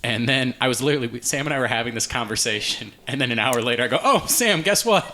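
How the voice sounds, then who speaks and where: A man's voice: medium pitch, expressive, fast talker, bright, forceful tone, a little hiss or hum. A man hosting a casual panel podcast, chatting about media in a conversational way. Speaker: podcast host.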